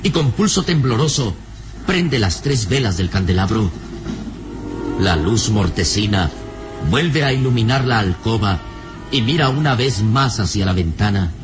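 A man narrating an old radio serial in a dramatic, deliberate, suspenseful scripted style. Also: fairly low pitch, animated, music in the background.

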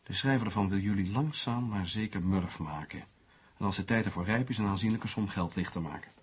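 An actor playing a skeptical, teasing newspaper journalist who reasons things out in a sardonic way, in a Dutch radio play. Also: male voice, fairly low pitch, a little fast, slightly rough. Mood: pondering, analytical. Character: skeptical, teasing male newspaper journalist, analytical amateur sleuth.

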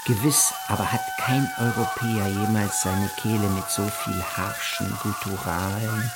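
An actor playing a 19th-century European explorer in a radio play, narrating his voyage in a deliberate, solemn, condescending literary manner. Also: lowish voice, fairly even intonation, rough recording. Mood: detached disdain. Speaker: actor reading a historical explorer's account. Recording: loud music under the voice.

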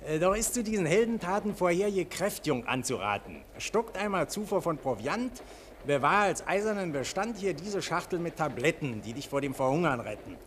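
A man's voice: on the high side, big pitch swings, normal speed. An actor playing a jovial, boastful travelling salesman who gushes and declaims in an old radio play. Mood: declaiming. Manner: gushing, declamatory. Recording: noisy old 1940s radio recording.

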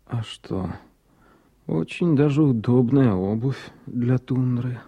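An actor playing an ardent city doctor, smitten and given to musing, in a radio drama. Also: male voice, mid-range voice, animated, slow pace, gravelly, warm tone, breathy edge, exaggerated voice. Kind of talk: radio play acting. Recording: 1980s radio play recording.

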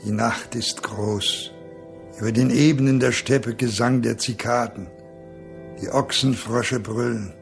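Gravelly, slow solemn narrator. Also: male voice, medium pitch. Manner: lyrical, measured declamation. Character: solemn male narrator of the vast night steppe. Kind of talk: poetic radio play narration. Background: music.

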